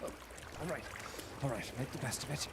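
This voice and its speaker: An actor playing a weary, educated shipwrecked gentleman, halting, polite and earnest, in a theatrical live audio drama. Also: medium pitch, gravelly, slight background noise. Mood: exhausted, struggling.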